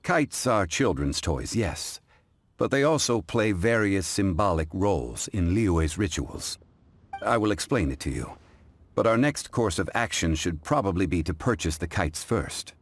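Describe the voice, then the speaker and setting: Game role: erudite, dignified gentleman, very deep, formal delivery with expressive intonation. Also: unhurried, exaggerated voice. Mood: composed. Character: dignified, scholarly, composed gentleman consultant. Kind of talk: video game cutscene dialogue. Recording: music behind the voice.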